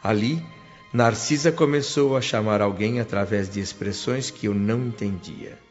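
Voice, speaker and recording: A man narrating a radio drama in the first person as an earnest spirit, with a Brazilian accent and a solemn, deliberate, literary delivery. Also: lowish voice, close to an everyday voice. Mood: solemn, admiring. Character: earnest male spirit narrator recounting his own learning. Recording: dull lo-fi audio, long hall reverb. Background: background music.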